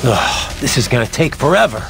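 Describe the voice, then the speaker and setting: An actor playing a blunt, determined cyborg mercenary in a video game, with a terse, dry, gruff delivery. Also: male voice, mid-range voice, big pitch swings, brisk, fairly bright tone, faint music behind. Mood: sardonic, impatient. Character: sardonic, hard-bitten male cyborg mercenary, blunt and determined. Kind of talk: video game radio dialogue acting.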